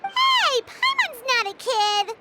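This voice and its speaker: A woman voicing a tiny, childlike, curious and excitable fairy companion in a video game, with a chirpy manner. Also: extremely high pitch, takes it slowly, heavily acted. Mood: excited. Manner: speaks of herself in third person, chirpy. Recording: music playing behind the voice.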